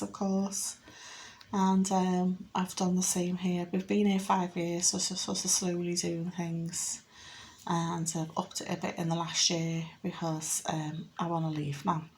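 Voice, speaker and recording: A woman's voice: lowish voice, level tone, measured pace, a little hiss or hum. A woman in her fifties with a Liverpool accent, chatting casually and matter-of-factly as she gives a home vlog tour in a rambling way. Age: 50s. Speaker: home renovation vlogger. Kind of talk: casual house tour chat. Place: inside a house.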